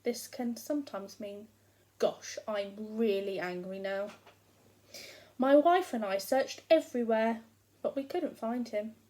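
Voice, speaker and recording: Woman reading as a slow-talking, grumbling storybook giant. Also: fairly high pitch, dark tone, lightly acted. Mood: aggrieved, angry. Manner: complaining, sarcastic, emphatic. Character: grumbling, self-pitying, hungry giant husband, huge and aggrieved. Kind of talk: first-person storybook narration read aloud.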